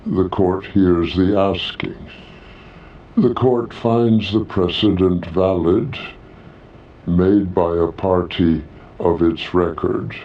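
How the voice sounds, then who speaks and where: A man playing an ancient, solemn alien court senior who speaks in formal, measured declarations in a science fiction audio story. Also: low voice, fairly even intonation. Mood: grave, assured. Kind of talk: audio drama character acting. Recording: distant, dull, echoing voice.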